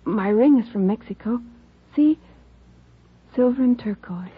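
A woman playing a frail, devoted young dying wife, soft and wistful, in 1950s radio drama style. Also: on the high side, expressive, a little slow, breathy edge, no echo, heavily acted. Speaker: patient character. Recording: tinny old radio recording with music.

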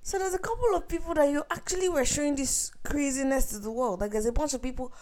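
A woman co-hosting a podcast in casual chat, her voice a little raspy and husky, pressing her point. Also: high voice, quick pace, darkish tone. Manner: insistent, argumentative. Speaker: podcast co-host.

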